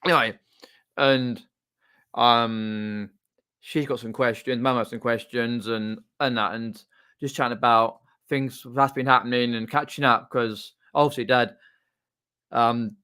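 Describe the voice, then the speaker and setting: Man, livestream host, casual unscripted chat, bright clear voice, halting and rambling delivery. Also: medium pitch, steady tone. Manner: rambling, halting, often trailing off mid-sentence. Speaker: live streamer and video channel host. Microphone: desktop condenser microphone.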